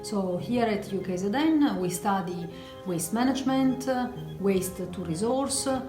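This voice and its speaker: A woman's voice: medium pitch, animated, unhurried, over music. Woman research chair, echoey room. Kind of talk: on-camera introduction.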